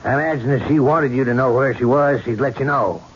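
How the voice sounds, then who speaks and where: A man's voice: mid-range voice, brisk, natural voice. An actor playing a reserved, busy, firm man in a 1970s radio drama. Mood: firm, guarded. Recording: dull, noisy old radio broadcast.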